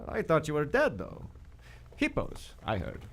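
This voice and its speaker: Gruff old-salt captain guy, high and sharp, melodramatic radio play, bit of noise behind. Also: wildly swooping pitch, unhurried, full character voice. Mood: wry, grumbling. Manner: barks colorful insults and orders. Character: gruff, salty, swearing male sea captain. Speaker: actor in live radio theater. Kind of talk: melodramatic radio adventure acting. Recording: live stage recording with background noise.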